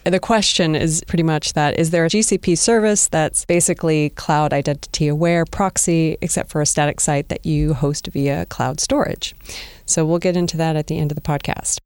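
A woman's voice: low-pitched, fairly even intonation, moderate pace, a little mellow, clear, resonant tone, no room sound, studio quality. A woman co-hosting a tech podcast, chatting casually and upbeat as she introduces segments and guests. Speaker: podcast co-host.

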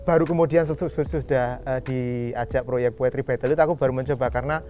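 A man's voice: medium pitch, steady tone, fast, dark tone, a little hiss or hum. A man with a Javanese accent, a podcast guest, speaking reflectively and searching for his words. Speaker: hip hop collective member, guest. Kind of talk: casual podcast chat.

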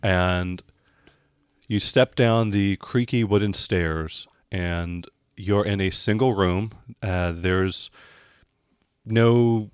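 A man with a fairly low voice works as game master in a tabletop role-playing game. He builds his descriptions haltingly as he goes, in a dry, close recording. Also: smooth, no room sound.

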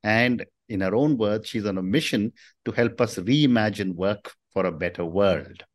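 Measured man hosting an interview. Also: on the low side. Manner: measured, affirming listener. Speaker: host.

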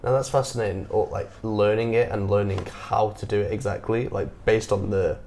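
A man's voice: lowish voice, fairly even intonation, fairly quick, a little mellow, a little hiss or hum. A British man interviewing a guest in a chatty, curious way, with rambling questions. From Britain. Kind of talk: casual interview chat. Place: living abroad.

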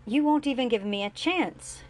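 A woman's voice: a little high, normal speed, a little mellow, voice pushed up, natural voice. A woman reading as a remorseful, pleading older father. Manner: pleading, then firm and impatient. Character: remorseful older father seeking forgiveness, self-assured.

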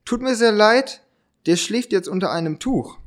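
A high-pitched, shady gentleman put on by a man for an amateur radio play. He is courteous on the surface and dismissive underneath. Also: expressive, moderate pace. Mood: falsely polite. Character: shady older man, falsely polite, dismissive impostor.

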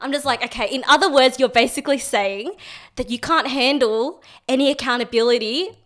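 A woman, hosting a casual podcast chat, lively and quick to laugh and swear. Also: high-pitched. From Australia. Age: 20s. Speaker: podcast host.

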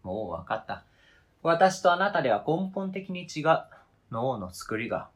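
Male actor as a wounded, indignant arguer, pedantic and interrogating, forceful raspy voice, animated intonation, radio drama. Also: fairly high pitch, unhurried, exaggerated voice. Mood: hurt, bitter. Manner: pedantic, interrogating, formal argumentative phrasing. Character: wounded, indignant adult, coldly logical and relentlessly accusing. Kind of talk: radio drama script reading.

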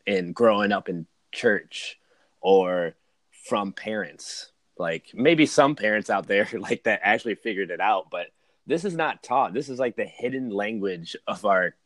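A man's voice: fairly low pitch, fairly bright tone, no room sound. A man in his early thirties talking as a podcast guest, casual and slangy, with lots of "like", "man" and "dude". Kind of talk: casual chat, personal storytelling.

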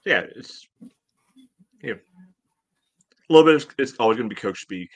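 A man co-hosting a sports podcast, chatting casually and thinking aloud in a halting, conversational way. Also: mid-range voice, animated. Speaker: podcast host.

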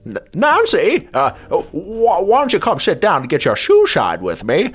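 A man acts out a flustered detective in a spoof radio play. He stammers and dodges, with very lively swings of pitch. Faint music plays behind him. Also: unhurried, exaggerated voice. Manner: stuttering, nervous, evasive. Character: flustered, stammering, shady male private detective. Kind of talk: improvised radio drama parody.

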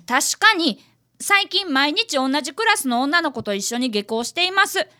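A woman's voice: high voice, expressive, moderate pace, bright, forceful tone. A woman playing an earnest, polite fifth-grade boy who is an apprentice detective in a radio drama. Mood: earnest explaining. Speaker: narrator and cast member of a radio drama.

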